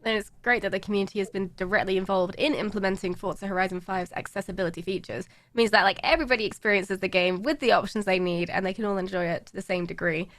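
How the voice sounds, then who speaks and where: An upbeat woman host moves briskly through her questions and affirms her guests. She talks fast. The sound is dry and close, with no room around it. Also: mid-range voice, fairly even intonation, a bit bright, no echo. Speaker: show host. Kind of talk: interview hosting.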